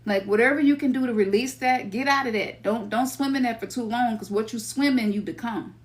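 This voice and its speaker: A middle-aged woman with a Memphis Southern accent gives a loose, slangy spiritual talk to her listeners. Her voice sits a touch high for a woman. She keeps it conversational and now and then acts out her own inner voice. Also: brisk. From Memphis, Tennessee. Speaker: spiritual teacher.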